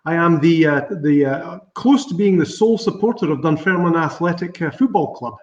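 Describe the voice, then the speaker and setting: A man's voice: on the high side, animated, brisk, a little mellow. A middle-aged man from Scotland with a Scottish accent, speaking as a winemaker guest on a video call with a dry, self-deprecating humor. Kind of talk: casual video call interview. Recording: roomy, distant, dull video call audio.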